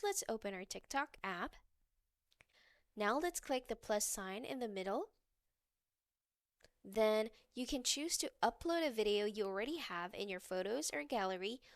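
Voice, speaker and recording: A woman's voice: a little high, no room sound, studio quality. A woman reading a scripted how-to narration, giving step-by-step instructions as a tutorial narrator.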